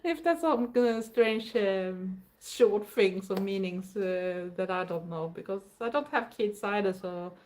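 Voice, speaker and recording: A woman's voice: medium pitch, unhurried, hardly any pauses. Swedish woman, second-language English, livestream host; chatty and rambling, easy laughter. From Sweden. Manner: chatty, rambling, with frequent fillers and soft laughs. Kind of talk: casual live-stream chat reading viewer comments.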